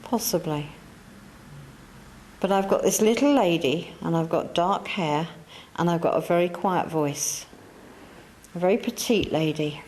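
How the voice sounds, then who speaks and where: A woman's voice: on the low side, a little mellow. Woman, chatty coaxing medium. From England. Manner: coaxing, chatty, repeats herself. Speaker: spiritualist medium. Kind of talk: mediumship demonstration to a church audience. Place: church hall.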